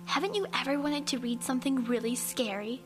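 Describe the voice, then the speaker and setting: A woman's voice: high voice, animated, fairly quick, music in the background. A woman playing a bold, pushy ten-year-old girl in a radio horror drama, brusque and confident. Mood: conspiratorial.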